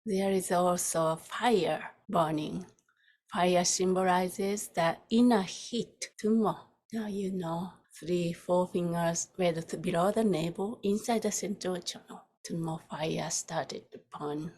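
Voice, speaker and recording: Female meditation teacher, lowish breathy voice, very slow and soft with long pauses. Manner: soft, unhurried, with long pauses between short phrases. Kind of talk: guided meditation.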